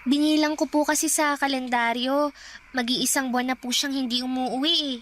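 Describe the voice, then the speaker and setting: An actress playing a young, hungry, innocent Filipino granddaughter in a Tagalog radio drama, anxious and plaintive. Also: female voice, high voice, fairly even intonation, fairly quick, a little hiss or hum, close to an everyday voice.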